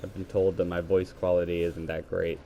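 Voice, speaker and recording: A man's voice: low-pitched, level tone, fairly quick, creaky, mellow. A man with a scratchy voice giving rambling play-by-play commentary while gaming, talking into a headset. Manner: rambling, self-correcting play-by-play. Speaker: gaming video creator.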